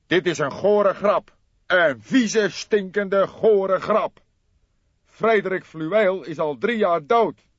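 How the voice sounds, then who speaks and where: Male reader as thuggish criminal, menacing, emphatic, slow and deliberate, pitched up, dull dry recording. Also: dry recording. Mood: angry. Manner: agitated, emphatic, deliberate, threatening. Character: violent gangster nephew, indignant, menacing, formal Dutch man. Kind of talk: dramatised audiobook character reading.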